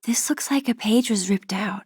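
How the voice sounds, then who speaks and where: A woman voicing a shy, thoughtful young American teenage girl in a video game, in a soft, breathy, musing inner-monologue voice. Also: medium pitch, moderate pace, dry recording, clean studio sound, close to an everyday voice. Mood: musing, nostalgic. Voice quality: breathy, hushed.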